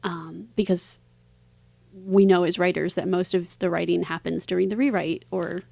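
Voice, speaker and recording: A woman being interviewed as an author, answering conversationally in a reflective way with halting phrasing. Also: on the low side, fairly even intonation, gravelly, darkish tone, dry recording.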